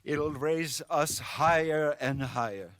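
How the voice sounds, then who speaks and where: An actor playing a sly, pompous older French politician in a video game, with a French accent and a drily ironic, theatrical delivery. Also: male voice, on the high side, slow, background noise. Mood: grandiose. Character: wry, pragmatic older French male politician, grandiose and sly. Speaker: mayor. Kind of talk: video game cutscene acting.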